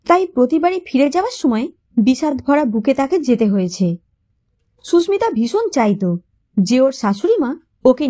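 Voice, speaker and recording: A woman tells a sentimental scripted story in a high, soft-toned voice. Her narration is emotive, moving through wide, animated rises and falls while staying mellow.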